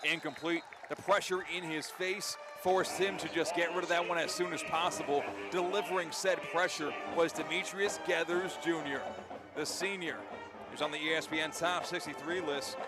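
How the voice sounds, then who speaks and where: A man doing energetic live radio play-by-play commentary of a football game. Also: a little high, normal speed, over loud music. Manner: energetic, vivid play-by-play delivery. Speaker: play-by-play sports announcer.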